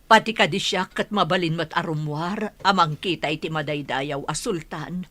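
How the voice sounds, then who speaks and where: A woman's voice: sits low, normal speed, a bit bright, no room sound. A woman with an Ilocano accent playing a wary mother in a radio drama. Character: wary mother of a young herbalist.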